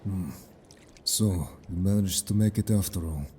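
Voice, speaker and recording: A man playing a stern, blunt merfolk companion in an audio drama, formal and measured in speech, suspicious of humans. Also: deep voice, dark tone, a little hiss or hum.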